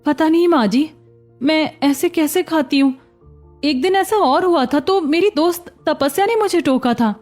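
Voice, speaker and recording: A woman voicing an expressive young daughter-in-law in a cartoon story, a former beggar who is fretful yet sharp-tongued. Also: high-pitched, a little slow, soft background music. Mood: bewildered, humble. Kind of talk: Hindi cartoon story voice acting.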